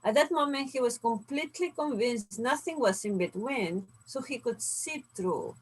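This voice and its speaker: Woman, grew up in Serbia and Peru, higher voice, animated storyteller. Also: normal speed, husky. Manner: storytelling, animated, laughs often. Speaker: co-host, inventor. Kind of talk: casual conversation.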